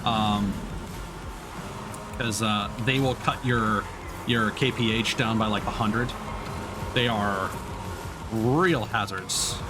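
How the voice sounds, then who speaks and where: A man with a fairly low voice commentates casually on a racing game as he streams it. He thinks aloud in a halting, conversational way. Game music plays clearly behind him. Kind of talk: casual live gameplay commentary. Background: game music and vehicle sounds.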